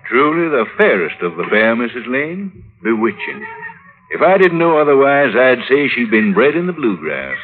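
An actor playing a courtly, smitten Southern gentleman suitor of the 1870s, with flowery, courteous speech, in old radio drama style. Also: medium pitch, sing-song, normal speed, soft background music, close to an everyday voice. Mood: courtly, admiring. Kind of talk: 1950s radio drama acting. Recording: old narrowband radio recording, faint noise.

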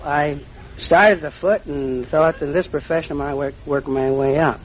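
A man's voice: fairly high pitch, moderate pace, a crowd in the background. A man, a quiz contestant, giving terse, plain-spoken answers in an interview. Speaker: quiz show contestant, chiropodist. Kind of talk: brief unscripted interview answers. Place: broadcast stage before a live audience. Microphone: stage radio microphone. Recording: muffled old radio recording with hiss.

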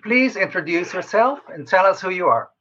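A man answering interview questions as a researcher, explaining in a measured way with pauses mid-sentence. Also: high-pitched, animated, bright, forceful tone, slightly rough. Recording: slightly dull lo-fi call audio.

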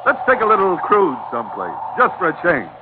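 Loyal, ribbing first mate, informal delivery, slightly high pitch, thin old radio adventure recording. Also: male voice, huge pitch swings, a little fast, heavy background noise. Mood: genial. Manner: informal, plain-spoken, ribbing. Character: loyal, wry first mate from a sailing ship. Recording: tinny vintage radio, loud music.

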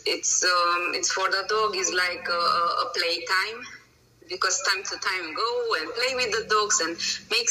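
A woman from Romania, a dog breeder answering interview questions in halting English full of pauses, fillers and grammar. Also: lowish voice, pushed and bright, distant mic, low-quality recording.

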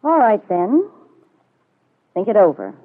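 A woman's voice: medium pitch, very animated, slow pace. An actress playing a confident, scheming middle-aged woman in a 1940s radio soap, cool and insinuating. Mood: coolly threatening. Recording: muffled old radio broadcast.

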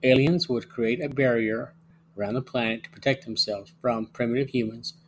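A man's voice: medium pitch, moderate pace, slight background noise, poor audio. A man narrating a scripted review as the host of a show.

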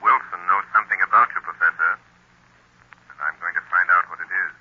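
An actor playing a mysterious, menacing avenger who taunts a villain with slow, ominous delivery in 1930s radio style. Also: male voice, low voice, fairly even intonation, breathy edge. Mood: menacing, taunting. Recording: dull, muffled 1930s radio recording.

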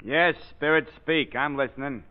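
A man in an old-time radio drama playing an irreverent, hot-tempered crook with gruff, slangy tough-guy talk. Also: on the high side, moderate pace, close to an everyday voice. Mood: impatient. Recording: thin, phone-like vintage radio.

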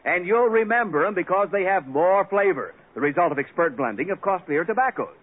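Announcer man, lively pitch, smooth salesman, tinny old broadcast. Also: a little fast. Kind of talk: 1940s radio comedy and sponsor read.

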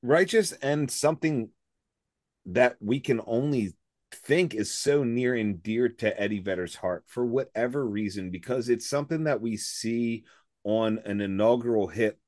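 A man in his forties with a slightly raspy voice, co-hosting a casual music podcast and talking enthusiastically, with lots of fillers and restarts. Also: medium pitch, measured pace, a little mellow, no echo, studio quality. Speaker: podcast co-host.

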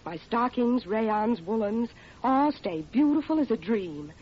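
An actress playing a delighted housewife giving an emphatic, gushing testimonial in a 1940s radio commercial. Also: female voice, on the high side, expressive, measured pace, slight background noise. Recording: muffled vintage radio broadcast.

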